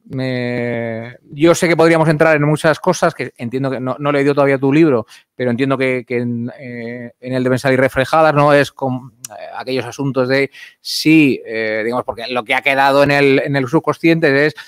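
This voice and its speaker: Hesitant man, smooth, dry voice. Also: medium pitch, steady tone, normal speed, dry recording. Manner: hesitant, many fillers. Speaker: guest from the audience. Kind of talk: hesitant comment from the floor. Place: library hall, indoors. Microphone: stand microphone.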